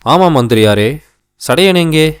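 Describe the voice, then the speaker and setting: A man playing a troubled, questioning king in an animated folktale, speaking formally and declaiming his words. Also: medium pitch, unhurried, clear, resonant tone, close to an everyday voice. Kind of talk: animated moral story dialogue.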